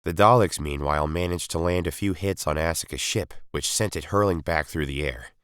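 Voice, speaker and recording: A man's voice: extremely low pitch, a little fast, a bit bright, clear, resonant tone, dry recording, studio quality. A man reading a sci-fi adventure story as dramatic scripted narration. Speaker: story reader.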